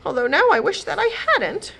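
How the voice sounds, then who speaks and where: Woman putting on a fretful, high-pitched fairy godmother. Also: very animated, brisk, creaky, heavily acted. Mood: tearful wailing. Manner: dramatic hissing, moaning and wailing. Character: fretful, proud fairy godmother, dramatic and easily upset.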